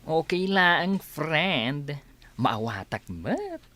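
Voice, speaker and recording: An actress with a Filipino accent playing an easygoing, nosy young friend in a radio drama, with drawn-out, animated reactions. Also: female voice, sits low, exaggerated voice. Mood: sympathetic, curious.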